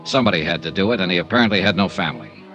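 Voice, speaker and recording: A man's voice: low-pitched, lively intonation, very fast, muffled recording, over music. A man playing a tough, wry American insurance investigator in a 1950s radio serial, clipped and matter-of-fact. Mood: dry, narrating. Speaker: actor narrating and starring in a radio detective serial. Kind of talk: 1950s hardboiled radio acting.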